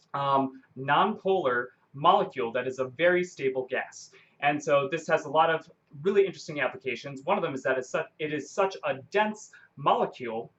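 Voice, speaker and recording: Higher-voiced man explaining science, dull audio. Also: a bit bright. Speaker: science explainer presenting a video. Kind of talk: informal explanatory lecture with unscripted delivery.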